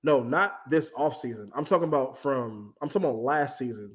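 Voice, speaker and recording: Late-twenties male guest, mid-pitched, blunt and easygoing, a little dull and lo-fi on the mic. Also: expressive, a little fast. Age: 20s. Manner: laid-back, blunt, laughs often. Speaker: podcast guest. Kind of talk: casual sports chat.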